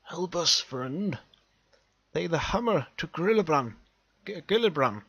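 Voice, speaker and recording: A man voicing a dying old dwarf warrior from a fantasy storybook, delirious and imploring in a strained, halting, exclamatory way. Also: on the high side, a bit bright, slightly rough, dry recording, dull audio, heavily acted. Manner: halting, imploring, repeating himself.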